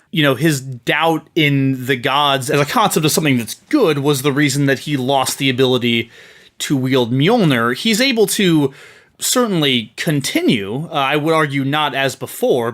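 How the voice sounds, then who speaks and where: A man's voice: on the high side, fairly bright tone. A man debating casually on a comedy podcast, making his case in a conversational, argumentative way.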